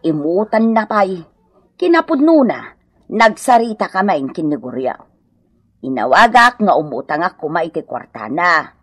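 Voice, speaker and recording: A woman's voice: lowish voice, sing-song, measured pace, slightly rough, no echo, heavily acted. A woman acting an aggrieved elderly mother-in-law in a radio comedy drama, plaintive and reproachful, with an Ilocano accent. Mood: complaining.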